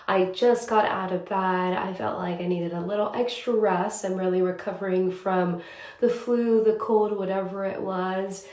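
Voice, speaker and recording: A woman's voice: medium pitch, fairly even intonation, moderate pace, darkish tone. Congested chatty young woman vlogging. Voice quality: chatty, with many "like" and "um" fillers. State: congested and slightly raspy from a cold. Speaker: TV news reporter vlogging her day. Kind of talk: casual vlog chat. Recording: echoey room.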